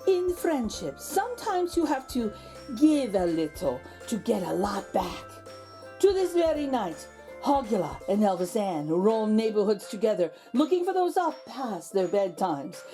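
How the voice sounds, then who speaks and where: A woman with a high-pitched voice hosts a children's read-aloud. She speaks slowly and deliberately in an expressive, theatrical storytelling style, with music behind her. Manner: theatrical, deliberate, dramatic pauses.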